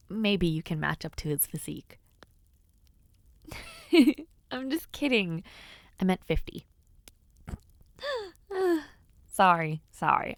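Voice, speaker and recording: A woman playing a teasing, bashful young noblewoman in an intimate audio roleplay, speaking playfully with hesitant pauses. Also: medium pitch, gravelly, no echo. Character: teasing, bashful young noblewoman before her debut ceremony. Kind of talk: intimate audio roleplay, one-sided conversation.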